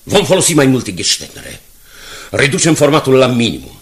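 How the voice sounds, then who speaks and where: A man's voice: medium pitch, huge pitch swings. A man playing a determined newspaper director under pressure in a radio drama, speaking in a commanding, emphatic way. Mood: determined, rousing. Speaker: actor.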